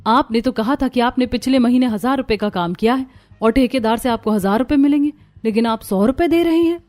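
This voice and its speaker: A woman's voice: on the high side, a little fast, a little mellow, pitched above the performer's own voice, lightly acted. A woman voicing a poor, concerned young housewife in a cartoon story, speaking earnestly. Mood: dismayed. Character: poor, worried young housewife and daughter-in-law.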